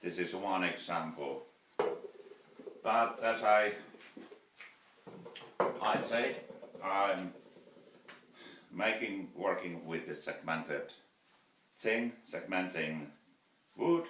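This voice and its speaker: A Finnish man in his fifties speaks English with a very deep voice, slowly and haltingly. He is heard through a camera mic several feet away in a roomy workshop, with faint noise behind him. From Finland. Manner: slow, halting, many fillers and restarts. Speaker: woodturner vlogger. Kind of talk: casual workshop vlog. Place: cluttered indoor wood shop. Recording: roomy workshop sound from a distance.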